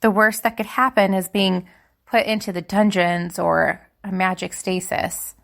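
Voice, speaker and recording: A woman's voice: mid-range voice, steady tone, unhurried, creaky. A woman playing a young spellcaster student in an audio drama, confiding and hesitant, anxious and wistful. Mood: anxious, musing. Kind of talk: dramatic monologue in fiction podcast.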